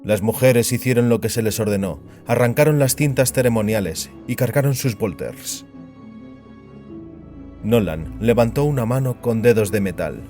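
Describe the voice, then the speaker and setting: Un hombre que narra un relato dramático con guion, como narrador de audioficción. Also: male voice, fairly low pitch, normal speed, warm tone, faint music behind. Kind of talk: scripted dramatic story narration.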